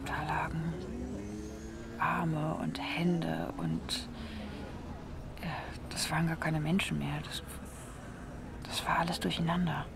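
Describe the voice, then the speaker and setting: A shaken young man in a radio drama. He talks slowly and haltingly in a creaky voice, with clear background noise. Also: on the high side, level tone. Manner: halting, hesitant. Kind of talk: naturalistic radio drama dialogue.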